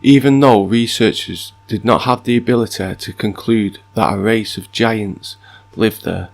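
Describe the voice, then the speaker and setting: A man with a croaky, sore-throated voice, reading passages aloud and then musing on them in casual asides. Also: fairly low pitch, steady tone, unhurried. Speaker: video narrator. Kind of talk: reading and commenting on mythology.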